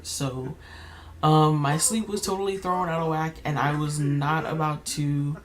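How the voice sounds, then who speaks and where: A young woman with a slightly raspy voice, chatting casually while playing a life-sim game, rambling with many fillers and restarts. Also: low voice, steady tone, a little slow. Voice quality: slightly raspy, keeps clearing throat. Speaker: gaming YouTuber. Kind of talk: casual gameplay commentary.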